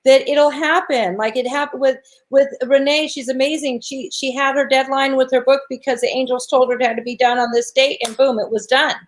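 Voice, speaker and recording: A woman with a raspy voice hosting a spiritual talk show, chatting casually in an encouraging, chatty way. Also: high voice, fairly even intonation, fairly quick, few pauses. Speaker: show host.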